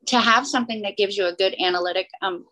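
A talkative woman co-hosting a podcast, rambling through casual chat with quick laughs. Her voice sits at a medium pitch and she drifts between thoughts. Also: sharp tone. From southern United States. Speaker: podcast co-host.

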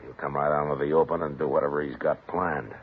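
An actor in a 1950s radio western playing a calm, commanding frontier marshal with a level, unhurried delivery. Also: male voice, extremely low pitch, slight background noise. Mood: steady. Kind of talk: 1950s radio drama acting. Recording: tinny old radio broadcast.

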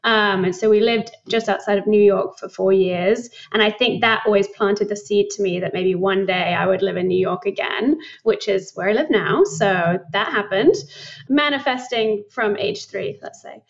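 A young woman from England, a company founder talking in a casual interview, thoughtful and quick to laugh. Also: mid-range voice, gravelly, dull audio. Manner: reflective, laughs often, many likes. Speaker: guest, startup founder.

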